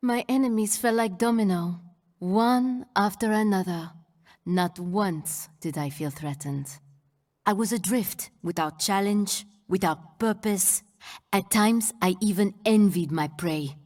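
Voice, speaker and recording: A woman's voice: mid-range voice, slow pace, a little mellow, in a large hall. A woman voicing a seductive, cold-blooded mercenary villain in a video game, with a French accent and languid, taunting delivery. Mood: cold, reminiscing. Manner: languid, taunting, self-assured. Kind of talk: dramatic video game voice acting.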